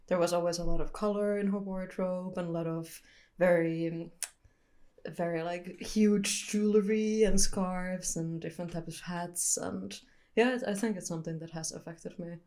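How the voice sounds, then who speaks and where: A young woman from Finland with a low-set voice answers interview questions in a thoughtful, candid way. She pauses now and then to search for the right words. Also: steady tone, warm tone. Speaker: photographer guest.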